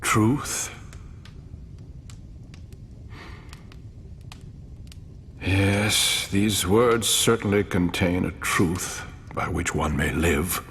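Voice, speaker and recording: An actor playing a grave, weary mortal ruler in a game cutscene, speaking in measured, formal, deliberate phrases. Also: male voice, steady tone, fairly bright tone, exaggerated voice. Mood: grave, resigned. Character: weary mortal male ruler, grave, idealistic yet pragmatic. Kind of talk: dramatic game cutscene acting. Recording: long hall reverb, low rumble.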